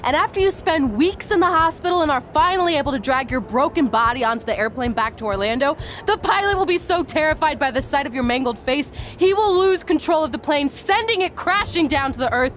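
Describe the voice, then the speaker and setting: A woman's voice: high voice, brisk, few pauses, a bit bright. A woman playing a hotheaded young rookie wrestler in a video game cutscene. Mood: menacing. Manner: intense, menacing when angered.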